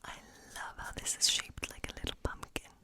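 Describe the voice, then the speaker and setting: A woman playing a hospitable, eccentric woodland witch in an ASMR role play, speaking in a breathy whisper with a slow, coaxing, reassuring, rambling manner. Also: close to an everyday voice. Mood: cozy, modest. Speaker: ASMR performer.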